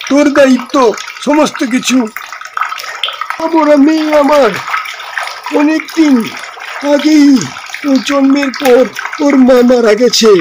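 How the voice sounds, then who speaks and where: A man's voice: very high-pitched, background noise. A man voicing a devoted, earnest village fisherman and father in a cartoon. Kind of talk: cartoon dialogue.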